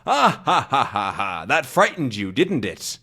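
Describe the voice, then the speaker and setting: A man acts out a storybook villain posing as a ghost. The voice is menacing and mocking, with theatrical swoops in pitch. It taunts and commands like someone in full control. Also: big pitch swings, slow, exaggerated voice. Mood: gloating.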